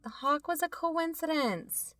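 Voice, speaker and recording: A woman playing a calm, patient and skeptical podcast co-host in an audio drama, reasoning in a measured way. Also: high-pitched, dry recording. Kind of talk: audio fiction podcast dialogue.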